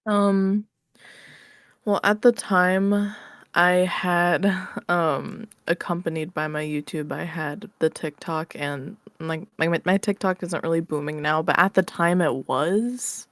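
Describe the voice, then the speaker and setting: Woman with a lowish voice, chatting casually. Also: fairly even intonation, slow pace, no echo. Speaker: podcast guest, ASMR roleplay creator. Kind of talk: casual chat, answering interview questions.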